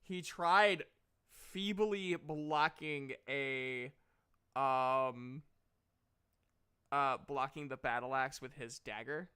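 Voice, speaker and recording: Bright-voiced man running a tabletop role-playing game. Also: mid-range voice, drawn-out words, clean tone. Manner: casual, hesitant, profane. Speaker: game master.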